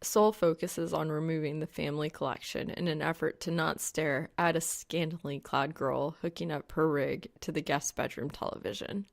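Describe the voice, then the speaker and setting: A woman with a fry-edged voice reading scripted fiction narration as an audiobook narrator. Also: deep voice, fairly even intonation, moderate pace, hardly any pauses.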